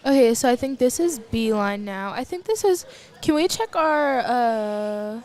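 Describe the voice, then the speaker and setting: A woman's voice: fairly high pitch, animated, measured pace, a little mellow. A teenage girl with an American accent giving casual live sports commentary in a chatty, easily sidetracked, informal way. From Texas, United States. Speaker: youth broadcast commentator.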